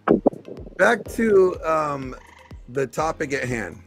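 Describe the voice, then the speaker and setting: A man in his forties with a gravelly, creaky voice pitched a little high. He talks haltingly on a video call, circling back and restarting his sentences. Faint music plays behind him. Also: animated. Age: 40s. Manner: halting, full of fillers and restarts. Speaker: podcast host. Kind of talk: casual debate on a video call. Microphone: studio mic on a video call.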